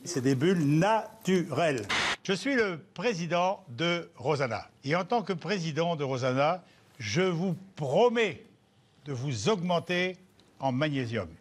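An older man with a French accent, a company president pitching his product in a homespun TV advertisement. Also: slight background noise.

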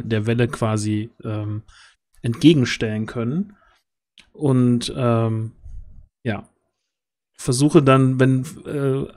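A man's voice: medium pitch, darkish tone. A man playing a tabletop role-play game on a video call, speaking casually and searching for his words. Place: indoor room, video call.

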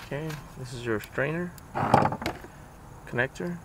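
Man, casual how-to talk, mid pitch, head-worn camera mic, clear outdoor noise. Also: measured pace. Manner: plain step-by-step explaining with fillers. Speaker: DIY boat video host. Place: outdoors on a small boat.

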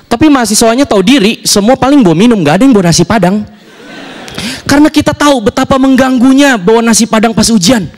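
A young man performing a stand-up comedy set on a TV studio stage with a handheld mic, acting out voices as he tells his jokes. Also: high-pitched. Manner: animated, acts out voices and dialogue.

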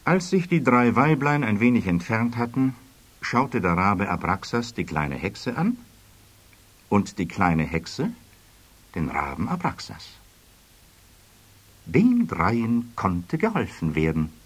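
A man playing the narrator of a children's fairy tale, reading scripted storybook prose with expressive, dramatic phrasing. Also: lowish voice, slow pace, pauses often, breathy edge, full character voice. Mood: suspenseful.

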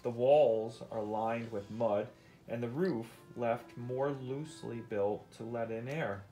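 A man's voice: mid-range voice, takes it slowly, mellow. Man reading aloud, music behind. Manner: prompting with questions, explanatory. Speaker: parent reading aloud and teaching. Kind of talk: interactive read-aloud of a nonfiction book. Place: indoors at home on a couch.

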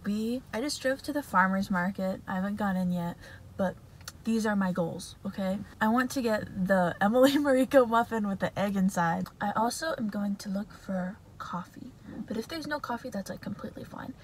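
A woman's voice: medium pitch, normal speed, a little hiss or hum. A young woman chatting casually to her phone camera, bubbly and full of fillers, in her bedroom and then a parked car. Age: young adult. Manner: bubbly, chatty, lots of fillers, laughs often. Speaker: vlogger. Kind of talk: casual vlog chat. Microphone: phone camera at arm's length.

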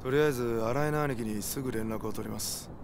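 A man's voice: mid-range voice, fast, background noise, lightly acted. A man voicing a matter-of-fact, wary yakuza member in a Japanese video game, speaking in a street-wise way. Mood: deferential, concerned. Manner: blunt, explanatory, rough casual speech.

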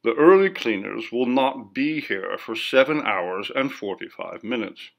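A man reading a ghost story as scripted narration, in a measured, formal, dramatic first-person delivery. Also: medium pitch, animated, vocal fry. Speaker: audiobook reader.

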